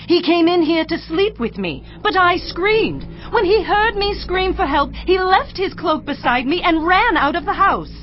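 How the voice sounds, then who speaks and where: High-voiced, scheming Egyptian noblewoman. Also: big pitch swings, a little slow, few pauses, exaggerated voice. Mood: indignant, accusing. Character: scheming, seductive wife of an ancient Egyptian official. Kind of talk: dramatized audio Bible acting. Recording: muffled audio with music underneath. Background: background music.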